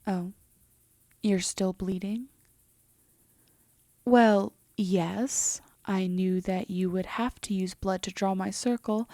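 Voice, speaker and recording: A woman playing a composed, teasing female demon in an intimate roleplay audio drama, often slipping into a breathy half-whisper. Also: medium pitch, dark tone, dry recording, clean studio sound. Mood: cool, attentive.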